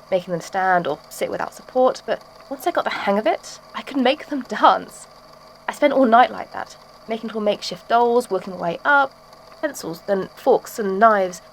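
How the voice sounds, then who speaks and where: Woman as ex-schoolteacher turned macabre artist: mid-pitched, wry, casual confessional for audio drama, light background noise. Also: lightly acted, poor audio. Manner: chatty, wry, matter-of-fact confessional storytelling. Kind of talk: audio drama statement monologue.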